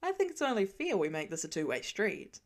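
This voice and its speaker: A woman's voice: mid-range voice, sing-song, fairly quick, lightly acted. A woman voicing a confident, wisecracking grown man who talks in a dry, teasing way. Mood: wry. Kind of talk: audiobook fan reading.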